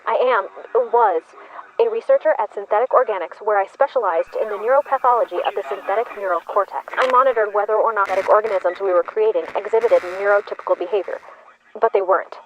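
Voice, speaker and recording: A woman's voice: mid-range voice, fast, muffled recording, lightly acted. A woman playing a research scientist broadcasting a grave, formal whistleblower warning in a sci-fi radio drama, precise in her wording. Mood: grave urgency.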